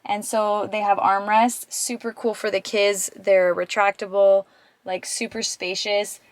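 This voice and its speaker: A woman's voice: medium pitch, level tone, unhurried, no room sound. A young woman in her twenties gives a casual vlog tour of her SUV, chatty and full of ums and likes, her voice scratchy from a cold. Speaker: vlogger. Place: inside a parked SUV and outdoors on a driveway. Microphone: handheld camera at arm's length.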